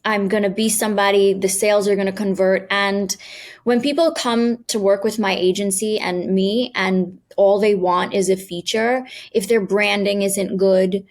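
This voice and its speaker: A woman's voice: medium pitch, fairly even intonation, moderate pace. A woman from New York, a PR agency owner speaking as an interview guest, confident and direct as she explains at length. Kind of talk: interview answers.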